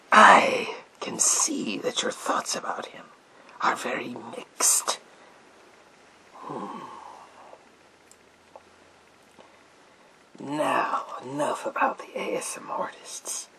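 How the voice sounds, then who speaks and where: A man playing a sinister psychic mind-reading soldier in a gas mask, in a theatrical, drawn-out, whispered ASMR roleplay. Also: mid-range voice, huge pitch swings, creaky, voice pushed down, full character voice, low-quality recording. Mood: menacing, hypnotic. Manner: theatrical, slow, drawn-out, repetitive phrases.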